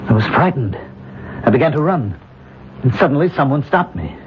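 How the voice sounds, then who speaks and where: An actor playing a bewildered modern man trapped in another life, halting, earnest and agitated, in radio drama style. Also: mid-range voice, big pitch swings, lightly acted. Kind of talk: old-time radio drama acting. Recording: muffled old radio recording with noise.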